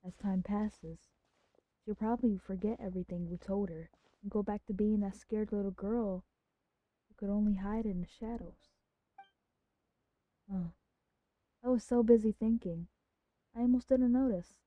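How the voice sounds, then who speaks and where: A woman's voice: mid-range voice, fairly even intonation, normal speed, pauses often, no echo, narrow, tinny audio. A woman streamer giving thoughtful, empathetic narrated commentary on a game's story. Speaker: live streamer.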